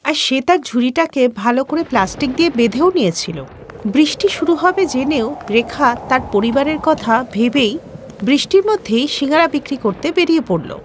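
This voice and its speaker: A woman's voice: high-pitched, a little hiss or hum. A woman narrating a scripted children's story as the storyteller.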